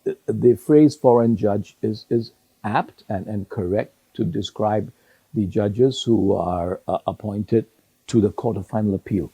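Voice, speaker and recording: An older man whose native language is Sindhi, answering interview questions in a measured way with many pauses and restarts. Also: fairly low pitch, warm tone, breathy edge, no room sound, low-quality recording. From Hong Kong. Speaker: retired judge, interview guest.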